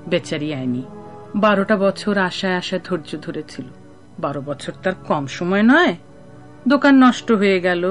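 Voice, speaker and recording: A kindly old village woman chats away in a radio play. Her pitch rises and falls dramatically as she shares gossip with sympathy and expression. Music plays behind her. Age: older. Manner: expressive, chatty storytelling.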